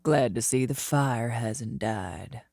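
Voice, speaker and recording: A woman's voice: very deep voice, slow pace, gravelly, exaggerated voice. A woman playing a stern, cutting female outlaw in a western audio drama, commanding and accusing. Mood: cold, menacing.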